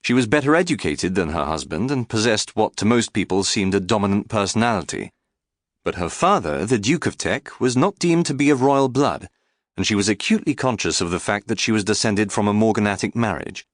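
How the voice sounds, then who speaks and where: Low-pitched man narrating, lively intonation. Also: dry recording, clean studio sound. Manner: measured, articulate, formal delivery. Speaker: audiobook narrator. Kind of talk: scripted narration of a biography.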